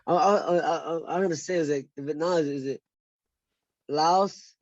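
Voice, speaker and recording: A man's voice: a little high, a little slow, dry recording, lo-fi sound. An American man talking casually as a podcast guest, upbeat and stumbling over restarts. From United States. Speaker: guest, former fighter. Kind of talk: casual interview chat.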